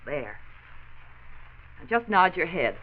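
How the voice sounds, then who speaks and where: Lively 1940s radio nurse. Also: female voice, sits low, slow, heavily acted. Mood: caring, firm. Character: brisk, caring female factory nurse. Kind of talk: 1940s radio drama acting. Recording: tinny, noisy 1940s radio recording.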